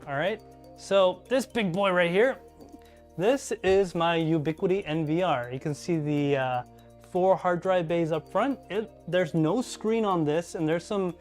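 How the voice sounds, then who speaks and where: A man hosting a casual live stream, talking through his network gear and reading chat aloud as he thinks out loud. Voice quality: deep voice. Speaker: podcast host live streaming. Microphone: desk mic, level adjusted mid-stream.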